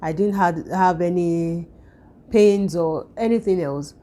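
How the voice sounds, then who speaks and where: A woman vlogger with a croaky, hoarse voice, recovering from illness, chatting at home in a relaxed way with frequent fillers and restarts. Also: lowish voice, takes it slowly. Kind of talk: casual vlog chat.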